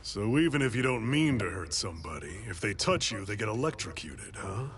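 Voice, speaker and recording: An actor voicing a wary young fighter in a video game, probing with questions. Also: male voice, lowish voice, sing-song, brisk, fairly bright tone. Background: game music.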